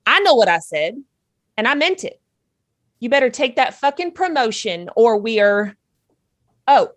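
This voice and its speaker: A woman's voice: fairly high pitch, sing-song, unhurried, dry recording. A woman playing a blunt, sardonic, unimpressed girlfriend in a comedy table read. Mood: exasperated, angry. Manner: blunt, dry, dismissive.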